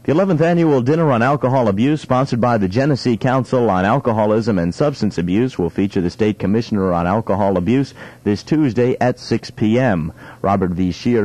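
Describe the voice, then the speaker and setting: A man's voice: on the low side, dark tone, rough recording. A young man reading a scripted local radio news bulletin, now and then stumbling over his words. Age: young adult. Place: radio studio.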